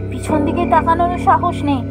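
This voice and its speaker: A woman storyteller narrating a scripted ghost story in the first person, with dramatic, suspenseful pauses. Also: extremely high pitch, fairly even intonation, over loud music.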